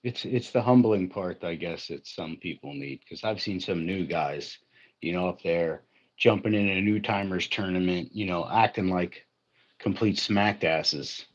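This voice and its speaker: A man's voice: low-pitched, unhurried, lo-fi sound. A man in casual, joking livestream chat, talking in a blunt, wisecracking way. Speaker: livestream co-host or guest.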